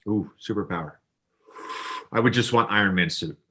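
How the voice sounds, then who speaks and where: Low, bright-voiced man chatting as guest. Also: moderate pace, breathy edge. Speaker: interview guest. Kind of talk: casual interview chat.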